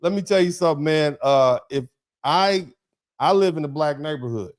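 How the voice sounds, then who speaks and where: A man's voice: fairly high pitch, normal speed. A man hosting a casual talk show, speaking emphatically and often repeating his words as he drives home a point. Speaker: host. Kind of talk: casual commentary chat.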